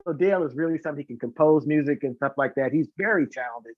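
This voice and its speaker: A man chatting casually as a guest singer, talkative and effusive, rambling excitedly about his plans and fellow performers. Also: fairly high pitch, quick pace, no room sound, highs cut off. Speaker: guest, singer and concert organiser. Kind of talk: casual live chat, talkative promotion.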